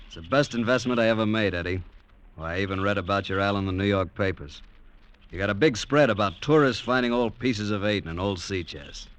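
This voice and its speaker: An actor playing a charming, easygoing investor on vacation, hearty and smooth-talking in a vintage radio drama. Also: male voice, fairly low pitch, fairly quick, a bit bright, close to an everyday voice. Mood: genial. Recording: old radio recording with faint noise.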